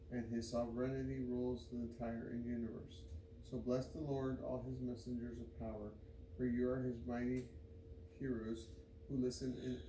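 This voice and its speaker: Evenly pitched man reading scripture, noisy echoey room. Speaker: scripture reader. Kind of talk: devotional Bible reading aloud. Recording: roomy echo, loud background noise.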